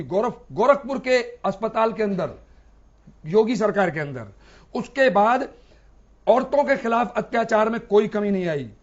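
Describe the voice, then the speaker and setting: A man arguing as a TV debate panelist, point by point, challenging with yes-or-no questions. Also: extremely high pitch. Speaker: debate panelist, political commentator. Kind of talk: argumentative TV debate talk. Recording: dull, band-limited broadcast audio.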